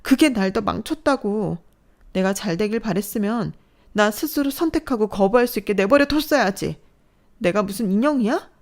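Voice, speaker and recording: A woman's voice: medium pitch, animated, moderate pace, pitched above the performer's own voice, lightly acted. A woman voicing a fragile young adult daughter who speaks in a trembling, pleading, emotional way. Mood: upset.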